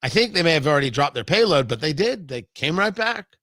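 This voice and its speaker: An American male talk show host with a high-pitched voice and a forceful, bright tone. He delivers opinionated commentary emphatically, hammering points home through repetition. The sound is dry and close. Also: sing-song, dry recording. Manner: emphatic, deliberate repetition for effect. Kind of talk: opinionated political commentary monologue.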